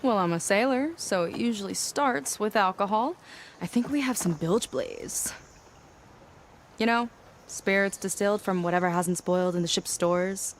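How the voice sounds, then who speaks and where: An actress playing a frank, warm-hearted young woman sailor in a video game cutscene, with a candid, dryly teasing, natural delivery. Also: medium pitch, moderate pace, slight background noise, close to an everyday voice. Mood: wry, teasing.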